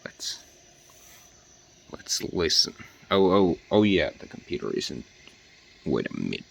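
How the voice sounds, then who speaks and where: A man's voice: low voice, very animated, takes it slowly, creaky, whispered. A man hosting a DIY video, giving a casual demonstration and often hesitating as he searches for words.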